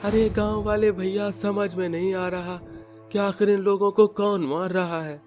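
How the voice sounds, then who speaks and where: A man's voice: sits high, fairly even intonation, measured pace, a little mellow, soft background music. Man voicing a worried cartoon villager. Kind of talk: cartoon voice acting.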